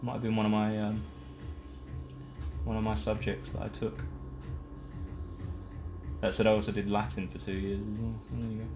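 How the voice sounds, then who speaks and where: Low-voiced man rambling while crafting. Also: little pitch movement, normal speed, long pauses, background noise. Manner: rambling, self-deprecating, talks while working. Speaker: streamer building a case. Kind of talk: casual live-stream chat while crafting. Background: faint music.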